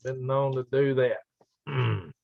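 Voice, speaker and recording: An older man with a raspy voice chatting casually and folksily as he hosts a live stream and reads out comments. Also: mid-range voice, takes it slowly. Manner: folksy, rambling, chuckling country talk. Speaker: livestream host. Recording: dull lo-fi livestream audio.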